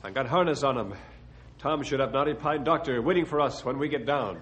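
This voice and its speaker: A loyal, calm ranger of few words, acted by a man. His mid-pitched voice speaks clipped broken English, in radio adventure style, over clear noise on a vintage recording. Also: brisk, muffled recording, lightly acted. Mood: steady. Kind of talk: old-time radio adventure acting.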